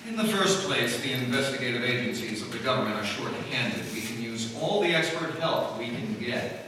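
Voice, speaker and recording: A man's voice: medium pitch, animated, lightly acted. Man as stern official, formal, roomy distant live-stage sound. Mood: grave. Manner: formal, grave, measured. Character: stern male government official, commanding. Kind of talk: live old-time radio drama reading. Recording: echoey hall, mic far off, faint noise.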